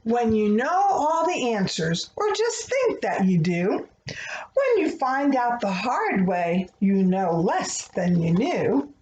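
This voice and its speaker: A woman's voice: a little high, big pitch swings, slow, a little mellow. A woman speaking tenderly and earnestly to young children, as the host of a picture book read-aloud. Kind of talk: expressive read-aloud of a rhyming picture book, then gentle talk to children. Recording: dull, echoey room with faint noise.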